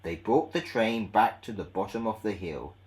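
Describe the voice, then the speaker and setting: A man narrating a children's read-along story in a measured, expressive way. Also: lowish voice, rough recording.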